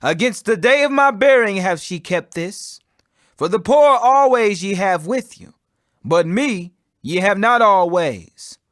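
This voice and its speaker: Guy reading bible audiobook, high bright voice, really expressive and declamatory, dry sound. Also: a little slow, no room sound. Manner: expressive, declamatory, emphatic on quoted speech. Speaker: scripture reader.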